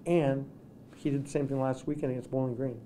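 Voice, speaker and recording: A middle-aged man, a hockey coach, answering questions at a postgame press conference, talking in halting bits with many restarts. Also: mid-range voice, steady tone, warm tone, a little hiss or hum. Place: press room with sponsor backdrop. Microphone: table microphones in front.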